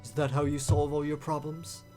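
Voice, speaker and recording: A man voicing a composed, superior high-ranking soldier in a visual novel, formal and measured in his speech. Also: flat delivery, mellow, faint music behind, natural voice. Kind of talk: visual novel character dub.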